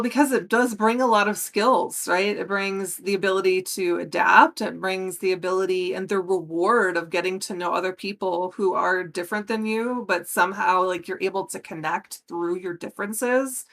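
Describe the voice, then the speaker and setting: A woman's voice: mid-range voice, a bit bright. An American woman in her forties speaking as a podcast guest in casual conversation, earnest and emphatic. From United States. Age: 40s.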